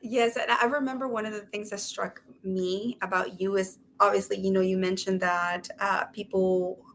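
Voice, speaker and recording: A woman's voice: medium pitch, fairly even intonation, moderate pace, fairly bright tone. Enthusiastic woman co-host, faint hiss. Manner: enthusiastic, encouraging, with fillers. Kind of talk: casual interview chat.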